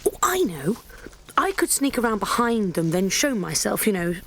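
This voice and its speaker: A woman's voice: medium pitch, very animated, normal speed, fairly bright tone, a little hiss or hum. A woman with a British accent playing a plucky, wry young adventurer in an audio drama, arch in manner. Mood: hushed, plucky.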